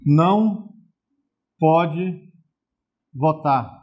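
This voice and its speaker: A man with a fairly high voice and a Brazilian Portuguese accent teaches a recorded programming lesson. He walks through each step slowly, often stopping to ask if the viewers are following. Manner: explains step by step, checks in with viewers. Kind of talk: recorded distance-learning lesson.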